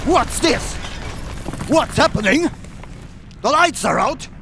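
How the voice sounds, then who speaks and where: A man's voice: very high voice, lively intonation, slow, gravelly, noisy background, exaggerated voice. A man playing a furious, imperious winged king with a blustering, menacing delivery, in 1930s radio serial style. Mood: alarmed, angry.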